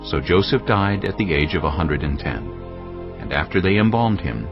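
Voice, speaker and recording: Male scripture narrator: very low, solemn storytelling with lively intonation. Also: normal speed. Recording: slightly dull audio with music behind. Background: music.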